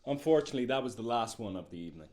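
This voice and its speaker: Young man playing deadpan TV studio anchor. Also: mid-range voice, racing, slight background noise, close to an everyday voice. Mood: mock-serious. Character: male TV studio news anchor, mock-serious showcase presenter. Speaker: co-host. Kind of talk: parody newsreader presenting.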